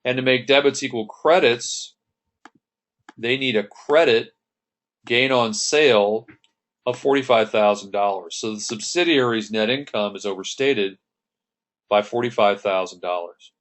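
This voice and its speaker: A man teaching an accounting lesson, working through the entries one step at a time and clearing his throat now and then. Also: mid-range voice, a little slow, a bit bright. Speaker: accounting instructor. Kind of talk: lecture over slides.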